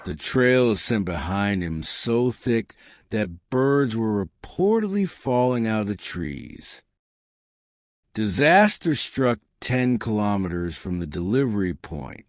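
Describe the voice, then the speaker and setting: A man narrating a scripted comic story with dramatic, deadpan delivery and deliberate pauses. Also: fairly low pitch, dry recording, studio quality.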